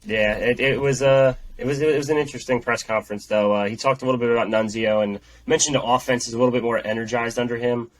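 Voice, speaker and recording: A man's voice: mid-range voice, steady tone, quick pace, hardly any pauses, poor audio. This man talks through a heavy head cold, so his voice comes out nasal and stuffed up. He co-hosts a casual sports podcast and rambles, circling back over his own points. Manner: rambling, repeats himself. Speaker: podcast co-host.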